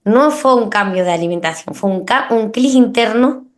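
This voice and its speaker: A woman's voice: on the high side, animated, normal speed. A woman hosting a podcast, talking reflectively with many tag questions and restarts. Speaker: podcast host. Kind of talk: conversational podcast interview.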